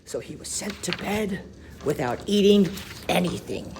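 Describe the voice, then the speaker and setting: Slow, expressive man reading a storybook. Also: sits high, vocal fry, slight background noise. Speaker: storybook reader for schoolchildren. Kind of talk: expressive children's story read-aloud.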